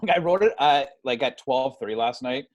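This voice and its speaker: A man talking as a podcast guest, casually pitching ideas in a conversational, self-deprecating way. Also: animated, moderate pace.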